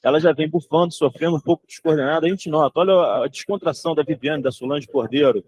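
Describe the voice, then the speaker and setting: A man's voice: fairly high pitch, fast, darkish tone, slight background noise, close to an everyday voice, low-quality recording. A man voicing an observant race commentator with a Brazilian accent, analysing runners in live sports commentary style. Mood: analytical.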